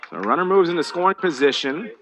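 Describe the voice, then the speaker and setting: An American man calling live baseball play-by-play, conversational between pitches and more animated on the action. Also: fast talker, slight background noise, poor audio. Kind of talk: live sports commentary.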